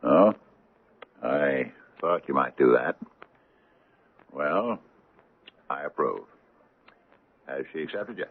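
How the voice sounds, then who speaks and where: Very deep, gravelly older father. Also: lively intonation, slow pace, long pauses, exaggerated voice. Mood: subdued. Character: protective, stern older country doctor and father. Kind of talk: 1970s radio drama acting. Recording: muffled vintage radio broadcast, narrow band.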